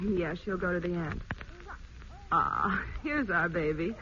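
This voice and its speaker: An actress playing a kindly, businesslike child placement worker, reassuring and matter-of-fact, in 1940s radio drama style. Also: female voice, lowish voice, slow, sharp tone, full character voice. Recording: muffled, noisy old radio broadcast.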